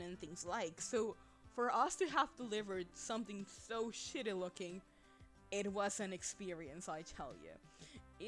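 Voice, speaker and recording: A woman's voice: medium pitch, a bit bright. Young woman streamer chatting over faint music. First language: Spanish. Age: young adult. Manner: chatty, rambling, laughs often. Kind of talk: casual livestream chat. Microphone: desk microphone.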